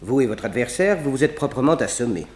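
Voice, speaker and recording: A male actor plays a hospital doctor in a sixties radio serial. His medium-pitched voice is brisk and excitable. The sound is noticeably roomy and reverberant. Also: close to an everyday voice. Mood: matter-of-fact. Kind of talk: 1960s French radio drama acting.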